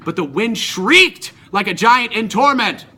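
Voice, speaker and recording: A man's voice: high voice, animated, a little slow, sharp tone, husky. A male student performer telling a myth as a dramatic story in an amateur recording.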